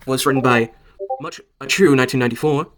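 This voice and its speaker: A man's voice: mid-range voice, fast talker, fairly bright tone, slight background noise. Guy narrating his fanfic audio drama, kinda scuffed, keeps fumbling words and fixing them. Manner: stumbles over lines and corrects himself. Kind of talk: amateur reading of fan fiction narration.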